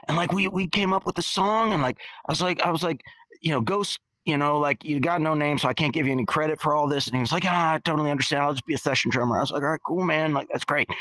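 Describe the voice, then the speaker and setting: A man's voice: a little high, bright, forceful tone, dry recording. A man playing a laid-back, centuries-old skeleton rocker who talks like a drawling slacker and says "man" constantly. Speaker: bassist and singer in the guest band. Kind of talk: in-character storytelling. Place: virtual-world podcast, dry sound.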